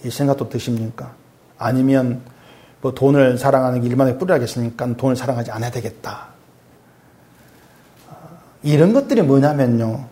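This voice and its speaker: A middle-aged male pastor preaching conversationally, asking his congregation questions and dropping low and soft when he reads scripture. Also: moderate pace, pauses often. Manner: swings between raised emphatic lines and quiet low asides; reads scripture aloud in a lower, softer voice. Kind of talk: sermon.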